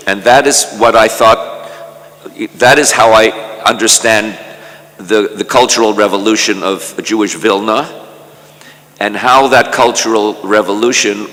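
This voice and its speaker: An older man from Canada giving a measured academic lecture at a conference, often repeating words as he restarts a thought. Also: lowish voice, fairly bright tone, in a large hall. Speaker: conference speaker. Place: conference hall.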